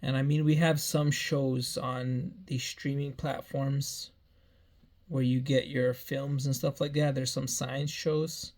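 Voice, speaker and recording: A man's voice: mid-range voice, level tone, takes it slowly, a little mellow. A man in his early thirties giving a meandering personal life update, often repeating himself and restarting phrases. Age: 30s. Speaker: vlogger.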